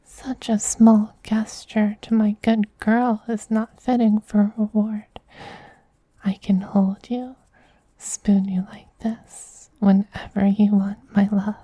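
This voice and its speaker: A man voicing a doting, possessive femboy vampire queen in a soft, breathy whisper, slow, tender and gently commanding, as in an ASMR roleplay. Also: high voice, level tone, dry recording, rough recording. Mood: tender, affectionate.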